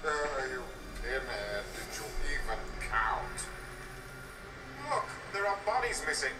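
A man's voice: mid-range voice, very animated. An actor playing a scornful, condescending soldier who berates his partner in a video game. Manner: condescending, deliberate. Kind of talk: scripted video game voice acting. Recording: echoey distant game playback with music.

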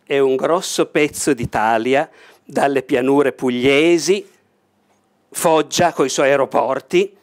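An older man presenting a board war game in a bright indoor room, talking with enthusiasm, leaning over the table and gesturing as he explains. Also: mid-range voice, slow pace. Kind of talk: enthusiastic unboxing talk.

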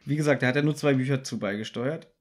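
A man's voice: medium pitch, quick pace. A man co-hosting a podcast in casual, conversational discussion. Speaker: podcast co-host.

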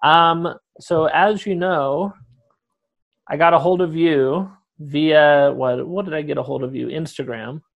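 A man's voice: fairly high pitch, steady tone, unhurried, vocal fry, highs cut off. A young man hosting a casual interview over a video call, talking in a chatty, rambling way with restarts. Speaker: podcast host. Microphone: headphones on video call.

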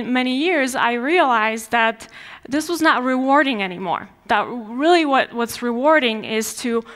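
A woman's voice: on the high side, a bit bright. A young woman from Poland with a Polish accent, giving a conversational conference talk on stage, often restarting her sentences with fillers. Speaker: conference speaker. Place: large dark conference stage before an audience.

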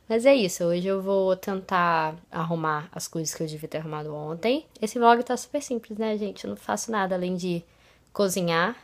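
Chatty young woman vlogging at home. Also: fairly low pitch. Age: young adult. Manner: chatty, laughs often, many fillers. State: slightly stuffy from a cold. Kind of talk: casual vlog chat. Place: small bedroom at home. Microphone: phone camera at arm's length.

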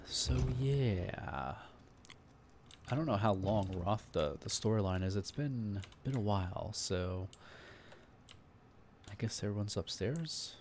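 A man's voice: fairly low pitch, expressive, slow, long pauses, a little hiss or hum. A man hosting a casual let's play, chatting in a laid-back way with jokey asides. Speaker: gaming channel host. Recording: loud game music behind voice.

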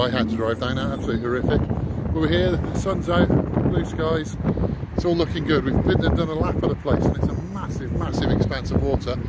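A man, talking casually to camera as an angling vlogger, in a hesitant, rambling way. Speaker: angler vlogger. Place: in a moving car, later outdoors by a lake. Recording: noisy, wind and road rumble.

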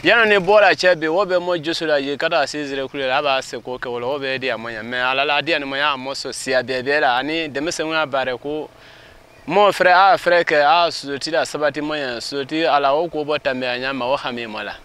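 Bright, clear young man outdoors. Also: on the high side, fairly quick. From Guinea. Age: young adult. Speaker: interviewee. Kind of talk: vox pop answer. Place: outdoors by a dirt road.

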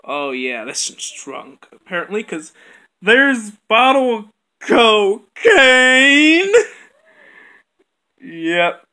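A home video maker talking through plush toys in a quiet, halting, mumbled way. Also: medium pitch, very animated. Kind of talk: plush toy skit with puppet dialogue. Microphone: camera on a bedroom floor.

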